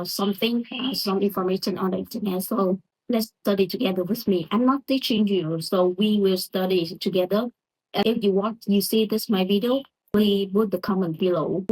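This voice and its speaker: Woman, young adult, Vietnamese accent, native Vietnamese speaker, casual lesson, hesitant, halting delivery. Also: mid-range voice, poor audio. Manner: halting, hesitant, with many restarts. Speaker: English learner sharing lessons.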